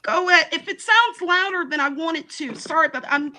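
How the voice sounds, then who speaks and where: Woman, high pitch, bright and forceful, loud projecting voice, insistent, many restarts. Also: brisk. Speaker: caller. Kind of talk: heated debate on a live chat.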